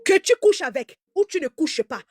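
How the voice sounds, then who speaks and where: A woman's voice: very high voice, lively intonation, moderate pace, no room sound. A woman giving heated live commentary in an emphatic, repetitive way, stammering as she restarts, whose first language is German. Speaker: online commentator.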